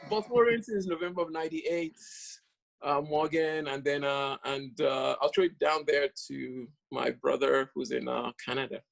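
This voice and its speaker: A man talks as a guest on a video call. His voice is gravelly and a little high for a man. He tells his story chattily and pauses on frequent fillers. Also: fairly even intonation, poor audio. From Nigeria. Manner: chatty, hesitant, many fillers. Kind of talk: casual video-call conversation.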